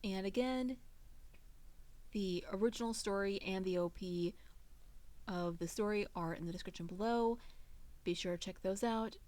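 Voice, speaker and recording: A woman's voice: mid-range voice, darkish tone. A woman reading fan fiction aloud in a storytelling narration style.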